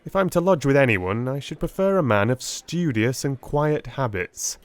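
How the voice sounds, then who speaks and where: Man acting a war-weary Victorian gentleman doctor, earnest, blunt, expressive swooping pitch, audiobook drama. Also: moderate pace, lightly acted. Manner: forthright, expressive.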